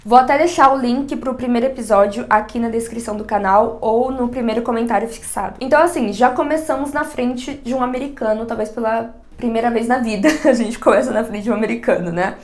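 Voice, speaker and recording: A young woman speaks with a São Paulo accent, her voice sitting somewhat high. She talks frankly and chattily straight to camera, punching her points with emphatic asides. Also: darkish tone. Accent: São Paulo Portuguese. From Brazil, São Paulo. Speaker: vlogger. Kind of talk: casual direct-to-camera vlog.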